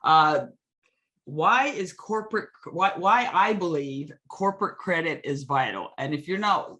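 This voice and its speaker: A woman's voice: low voice, animated, a little slow, a bit bright. A middle-aged woman from Hawaii giving an enthusiastic webinar talk over a video call, often restarting her sentences. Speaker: guest speaker. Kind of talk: webinar presentation.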